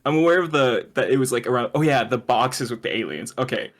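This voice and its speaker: A man's voice: medium pitch, expressive, fast. A man co-hosting a podcast, chatting casually in a joking, rambling way. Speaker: podcast co-host. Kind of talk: casual comedic chat.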